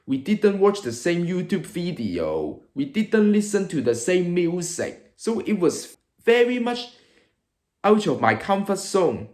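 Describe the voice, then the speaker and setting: A man's voice: high voice, sing-song, a little slow, darkish tone, echoey room. A man in his early twenties with a Hong Kong accent, presenting an exaggerated, emphatic comedic monologue to camera as a video host. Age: early 20s.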